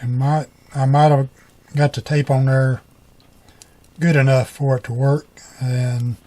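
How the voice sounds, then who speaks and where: A man's voice: mid-range voice, little pitch movement, measured pace, dark tone. A middle-aged man giving a casual hands-on tutorial, talking in a folksy, colloquial way and half muttering to himself as he works. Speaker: repair hobbyist.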